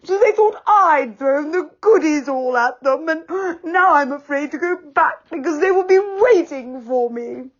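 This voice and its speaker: A woman voicing a timid male storybook character who whimpers and wails in a high, quavering voice. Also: expressive, a little slow, hardly any pauses. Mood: weeping. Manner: wailing, whimpering. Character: timid round-faced male tree dweller. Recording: dull lo-fi sound.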